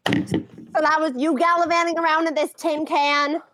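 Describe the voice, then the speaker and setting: A woman's voice: high voice, level tone, normal speed, fairly bright tone, a little hiss or hum. A woman voicing an indignant, no-nonsense elderly mother in a live cartoon comic dub. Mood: indignant, scolding. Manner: scolding, indignant.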